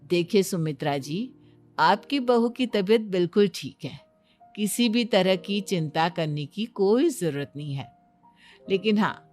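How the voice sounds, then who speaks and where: A woman's voice: medium pitch, animated, measured pace, darkish tone, faint music behind, natural voice. A woman voicing an approving, reassuring female doctor who chats and advises in a Hindi cartoon story. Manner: chatty, advisory.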